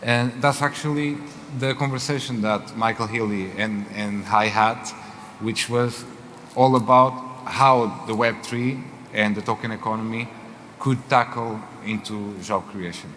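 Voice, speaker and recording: A man from Portugal with a Portuguese accent, moderating a fireside chat on stage and speaking haltingly with many fillers. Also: lowish voice, steady tone, a bit bright. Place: event hall. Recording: reverberant hall with faint noise.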